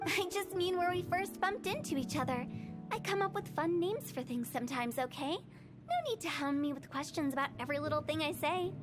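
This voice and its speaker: A woman's voice: sits high, lively intonation, a little fast. A woman voicing a playful, mischievous young funeral parlor director who tells old tales in a game. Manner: teasing, then solemn storytelling. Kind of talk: game cutscene voice acting. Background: game music.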